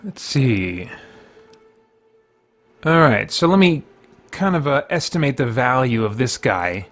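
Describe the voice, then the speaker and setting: Man, mid-pitched, casual gaming commentary, rambling, thinking out loud. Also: big pitch swings, takes it slowly, faint music behind. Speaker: gaming video host. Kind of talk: casual let's play commentary.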